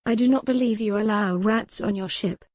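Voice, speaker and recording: Woman as captive noblewoman, audiobook romance, defiant, sharp wit, formal pointed speech, medium pitch. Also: moderate pace, dry recording, lightly acted. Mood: wry, challenging. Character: defiant, sharp-witted captive noble lady, strong-willed. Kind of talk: audiobook character dialogue.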